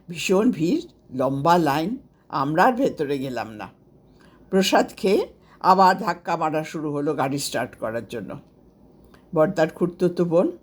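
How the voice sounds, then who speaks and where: A woman reading a scripted first-person story aloud as its storyteller, with an expressive delivery. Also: on the low side, husky. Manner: expressive, acts out quoted dialogue.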